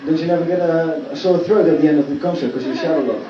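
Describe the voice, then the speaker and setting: A man's voice: fairly high pitch, fast, lo-fi sound, heavy background noise. A man fan asking an eager question, repeating himself as he goes, recorded from far off. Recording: distant echoey audience tape with crowd.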